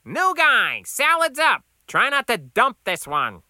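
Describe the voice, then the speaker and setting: A whiny sad-sack cook from an audiobook comedy. The voice sits high and piercingly bright, with a plaintive drawl that stretches words out slowly. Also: male voice, big pitch swings, clean tone, no room sound, studio quality, full character voice. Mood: brisk calling.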